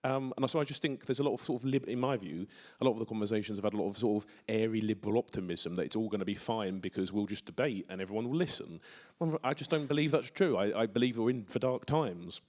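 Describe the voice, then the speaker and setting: A man with a lowish voice asks a long question from the floor of a conference. He talks quickly and urgently, stumbling over restarts as he builds his point. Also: a little mellow. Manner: rapid, urgent, rambling with restarts. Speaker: audience member asking a question.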